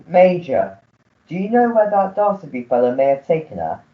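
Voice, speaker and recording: A polite, self-serving manservant, acted by a woman. The voice is low with wide movement in pitch, formal and deferential. It is radio melodrama on a slightly muffled recording. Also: sing-song, normal speed. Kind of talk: melodramatic radio play acting.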